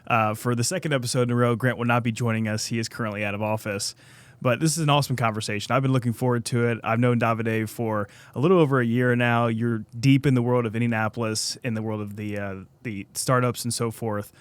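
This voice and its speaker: Fast-talking upbeat podcast host man. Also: mid-range voice, steady tone, smooth. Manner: upbeat, friendly interviewer. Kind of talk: casual interview conversation.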